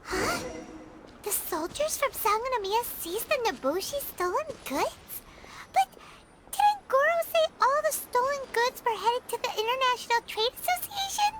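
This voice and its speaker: A woman voicing a small, cute, childlike fairy companion in a video game, squeaky, excitable and curious. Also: unhurried, heavy background noise, exaggerated voice. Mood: puzzled, curious. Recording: loud music behind.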